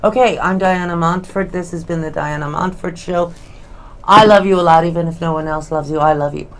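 A woman's voice: on the low side, steady tone, a little fast. A middle-aged woman hosting a talk show from a small studio, chatty and blunt as she interviews a guest. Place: small studio with dark curtain.

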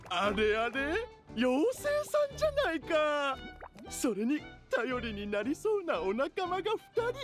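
An animated little squirrel worker, fussy and polite. The pitch swoops widely from line to line, with music playing behind it in fantasy game style. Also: a little slow. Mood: cheerful surprise. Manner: polite to guests, exasperated with mate. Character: fussy, polite young origami squirrel worker scolding friend.

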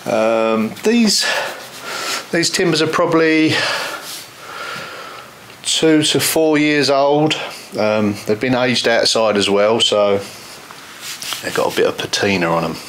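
A man's voice: mid-range voice, expressive, slow pace, pauses often, a bit bright, a little hiss or hum. A man, casually explaining his building plans step by step with plenty of fillers, filmed inside a stone outbuilding. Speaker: vlogger renovating a stone cottage. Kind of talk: casual walkthrough vlog.

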